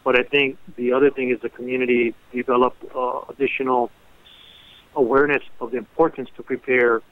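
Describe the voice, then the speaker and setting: A man speaking as a civil defense official, giving a measured, hesitant emergency briefing over a telephone conference line. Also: mid-range voice, little pitch movement, dry recording. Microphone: telephone conference call. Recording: narrow, tinny phone conference line.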